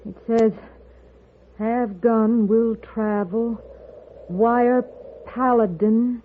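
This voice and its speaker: An actress playing a worried, weary frontier wife with an American accent and plain rustic speech in a 1950s radio western. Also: female voice, on the high side, steady tone, drawn-out words, phone-quality sound. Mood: weary, bitter. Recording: band-limited old radio recording, faint noise.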